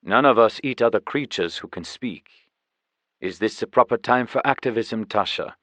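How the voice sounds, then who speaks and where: A man voicing a huge, gruff but reassuring bear in an audiobook. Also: medium pitch, moderate pace, fairly bright tone, no room sound, close to an everyday voice. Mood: dry, reassuring. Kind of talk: audiobook character voice.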